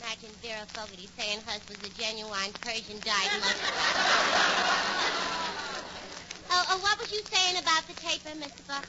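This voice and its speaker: A woman's voice: a little high, moderate pace, pauses often, close to an everyday voice. An actress playing a gabby, man-hungry, scatterbrained young New York woman in a 1940s radio comedy. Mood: gushing. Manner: gushing, ungrammatical, scatterbrained. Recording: noisy muffled 1940s radio recording.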